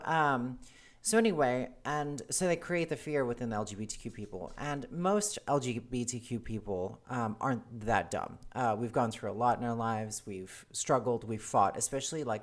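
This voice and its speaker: A woman's voice: extremely low pitch, darkish tone. A woman in her forties, originally from South Africa, talking casually to camera in a hesitant, earnest way, with many ums and restarts. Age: 40s. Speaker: vlogger. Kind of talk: casual personal monologue to camera.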